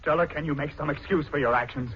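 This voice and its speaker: A man's voice: a little high, fast talker, a little hiss or hum, lightly acted. A man acting an easygoing, teasing, baffled husband in a 1940s radio drama, on a muffled old recording. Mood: urgent.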